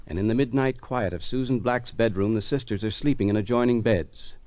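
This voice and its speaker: A man announcing and narrating an old radio drama in a formal, deliberate, dramatic 1940s style. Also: medium pitch, darkish tone, no room sound. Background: musical instrument.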